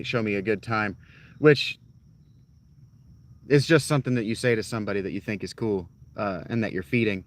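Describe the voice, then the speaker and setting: A man chats loosely with his live viewers, wandering from one tangent to the next. His voice sits a bit low, and he swears freely as he talks. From North Carolina. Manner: rambling, profane, talks straight to his chat. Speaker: live streamer. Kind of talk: casual chat with live viewers.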